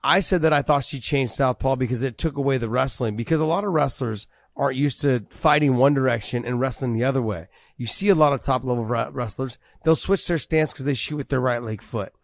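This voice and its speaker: Male podcast co-host, mid-pitched, animated and wordy, dry studio mic. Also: a little fast, hardly any pauses, no room sound. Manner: animated, repeats words as he builds a point. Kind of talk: casual MMA podcast chat.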